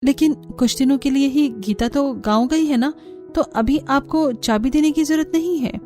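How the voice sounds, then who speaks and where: Woman doing earnest young bahu in a cartoon, uneasy but firm, high voice, bit of music. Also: normal speed, a little mellow, lightly acted. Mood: hesitant, uneasy. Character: honest, uneasy young daughter-in-law who stands firm. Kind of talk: cartoon voice acting.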